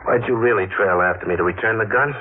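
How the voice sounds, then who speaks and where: An actor playing a hard-boiled, cynical gunman in a mid-century radio noir, speaking in a terse, deadpan, tough way. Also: lowish voice, quick pace, breathy edge. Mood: wary, curt. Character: hard-boiled, cynical gunman narrating his own crime story. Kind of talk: 1950s radio noir acting, first-person narration and dialogue. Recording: muffled old radio broadcast with loud music.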